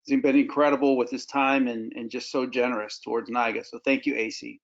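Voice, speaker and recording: A man's voice: medium pitch, little pitch movement, a little fast, highs cut off. A man introducing and thanking a guest on a webcast, a little hesitant.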